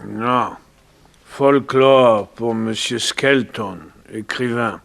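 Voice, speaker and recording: Terse, gravelly, very slow-spoken Native manservant. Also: male voice, medium pitch, a bit bright, exaggerated voice. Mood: guarded, stolid. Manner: terse, halting, telegraphic delivery. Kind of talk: 1960s French radio drama acting. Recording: vintage radio recording.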